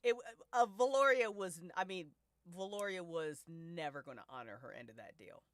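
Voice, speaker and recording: A woman's voice: lowish voice, lively intonation, normal speed, no room sound. A woman hosting a casual discussion of a fiction story, speaking expressively in slangy, profane language. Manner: prone to laughing as they talk. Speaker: host and writer of a fiction podcast. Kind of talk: casual discussion of an audio drama.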